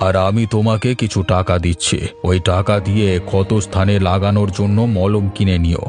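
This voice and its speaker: A man's voice: lowish voice, flat delivery, a little fast, darkish tone, soft background music, natural voice. A man voicing a middle-aged village man in a cartoon, with an earnest, dramatic delivery. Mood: calm, reassuring. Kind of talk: cartoon voice acting.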